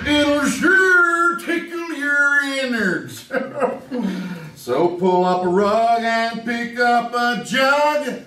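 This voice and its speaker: A man's voice: extremely high pitch, sharp tone, exaggerated voice. A man playing a rowdy hillbilly singing an old radio soda jingle, hollering and whooping on a theater stage. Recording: echoey stage audio from far off.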